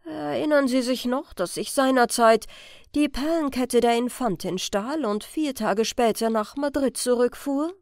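A woman's voice: a little high, unhurried, darkish tone, dry recording, clean studio sound. A woman voicing a hot-tempered, boastful male master thief in an audiobook, scornful and emphatic.

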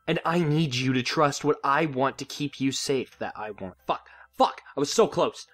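A man's voice: mid-range voice, moderate pace, a bit bright. A man performing earnest amateur drama lines, breaking off into frustrated asides. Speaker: amateur voice actor in a fan audio drama.

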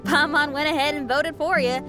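A woman's voice: sits high, fairly even intonation, moderate pace, over music, close to an everyday voice. A woman playing a friendly, upbeat young musician and adventurer in an anime video game, casual and earnest. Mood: friendly, considerate.

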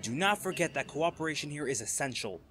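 A man's voice: on the high side, expressive, fast, sharp tone, slight background noise. A man playing a cocky college volleyball captain. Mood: rallying. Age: young adult. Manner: laid-back, laughs often, swears casually. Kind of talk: audio roleplay to a listener.

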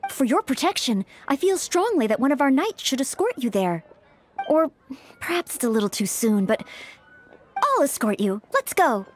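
An actress playing an earnest, polite young maid knight in a fantasy game, courteous, attentive and formal. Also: female voice, sits high, very animated, normal speed, soft background music. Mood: earnest concern. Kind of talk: scripted fantasy game voice acting.

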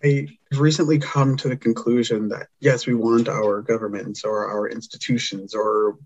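Man with a husky, muffled voice. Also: on the low side, steady tone. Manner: measured, earnest, reflective. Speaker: podcast guest. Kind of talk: conversational interview.

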